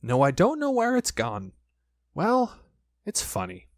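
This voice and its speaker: A man voicing a gentle, slow-witted storybook toy bear who muses in a simple, puzzled, childlike way. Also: high voice, huge pitch swings, vocal fry, dry recording, clean studio sound, voice pushed up, heavily acted. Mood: puzzled musing.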